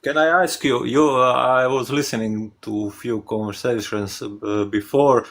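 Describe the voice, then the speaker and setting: Halting Croatian-accented man, medium pitch. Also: steady tone, fairly bright tone. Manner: halting, imperfect English. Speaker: debate caller.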